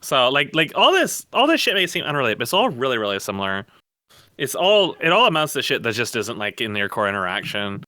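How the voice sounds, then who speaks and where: A man's voice: mid-range voice, wildly swooping pitch, brisk, sharp tone, dry recording, clean studio sound. A man in a casual, opinionated gaming podcast discussion, speaking bluntly and emphatically with plenty of profanity. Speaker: podcast co-host. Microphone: close mic on an online voice call.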